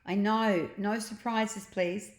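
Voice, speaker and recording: A woman's voice: medium pitch, steady tone, slow, lightly acted. A woman voicing a plucky, cheeky young boy in a children's storybook, bold and chirpy in manner. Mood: cheerful. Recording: roomy, echoing sound.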